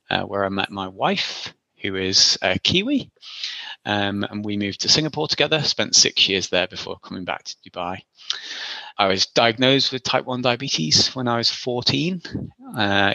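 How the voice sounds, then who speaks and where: A man's voice: medium pitch, vocal fry, pushed and bright, dry recording, muffled recording. A man in his forties from the Isle of Man, answering interview questions casually in a rambling, self-deprecating way. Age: 40s. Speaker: guest.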